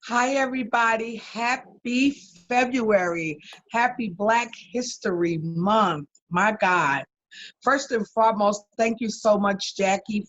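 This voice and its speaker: A woman's voice: medium pitch, a bit bright, no echo. A woman pastor and life coach speaks with preacherly emphasis, stacking phrases into repeated lists. Her voice comes through a dull, somewhat lo-fi remote connection with a dry sound. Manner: preacherly and emphatic, with list-like repetition. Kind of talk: guest self-introduction on an online talk show.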